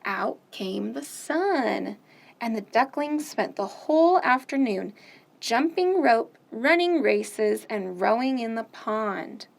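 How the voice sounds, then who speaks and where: A woman hosting a children's storytime, reading picture books aloud gently and asking the kids questions. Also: fairly high pitch, expressive, creaky. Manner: gentle, slow, sing-song, asks children questions.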